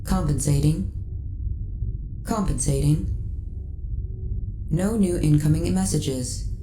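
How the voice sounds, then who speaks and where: Soft, mellow, lonely ship AI played by a woman. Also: sits low. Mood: formal, reporting. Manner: measured, polite, formal phrasing. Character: lonely female starship AI, gentle, devoted, wistful. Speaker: voice actor in an audio roleplay. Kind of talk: soft ASMR sci-fi roleplay acting. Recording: roomy echo, faint background noise.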